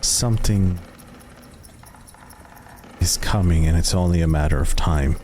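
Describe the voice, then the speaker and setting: A man with a very low voice leads a tabletop game as its game master. He speaks slowly, leaving long dramatic pauses that build suspense. Some background noise sits behind him. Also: a little mellow.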